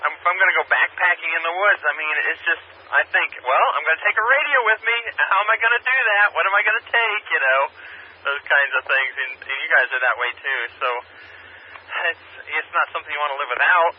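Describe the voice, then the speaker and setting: A man chatting casually over a two-way ham radio, talking in a rambling, halting way. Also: a little high, breathy edge. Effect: two-way radio transmission. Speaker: amateur radio operator. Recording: muffled, noisy radio repeater picked up by scanner.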